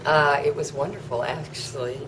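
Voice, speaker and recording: A woman, a cruise passenger, cheerfully describing a spa treatment in a halting, unhurried way. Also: sits low, steady tone, a bit bright, a little hiss or hum, low-quality recording. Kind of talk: casual chat.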